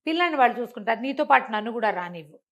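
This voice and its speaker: A woman's voice: fairly high pitch, animated, rapid-fire. A woman storyteller voicing a distraught, devoted queen who pleads insistently with her husband in a folk tale. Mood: pleading, insistent.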